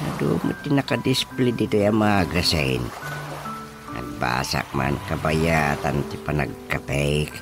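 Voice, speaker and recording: A man's voice: very deep voice, lively intonation, heavily acted. An actor playing a playful older village father in a Filipino radio comedy drama, with a Filipino accent and lots of exclamations and hums. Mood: chatty. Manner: exclamatory, full of drawn-out interjections and hums. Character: older village father, playful, easily amused, fussing over his coffee. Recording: radio drama with music behind.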